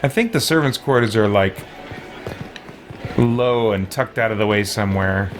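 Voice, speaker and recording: A man's voice: fairly low pitch, moderate pace, over music. A man doing casual let's play commentary on a video game, muttering and grumbling wryly to himself as he plays. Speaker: video game streamer playing and reacting.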